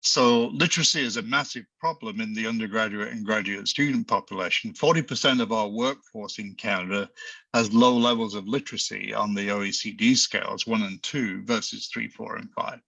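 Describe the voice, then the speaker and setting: A man speaks on a panel over a video call. His voice has a very sharp, forceful edge, and the sound is completely dry and close. He talks animatedly, pushes his points hard, and often restarts his sentences. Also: fairly low pitch, brisk, gravelly, breathy edge, dry recording. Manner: animated, emphatic, with frequent restarts. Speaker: business school professor.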